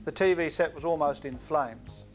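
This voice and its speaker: A man's voice: a little high, moderate pace. A man presenting an instructional training film, explaining things directly to trainees in a scripted style. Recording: music behind voice, VHS tape transfer.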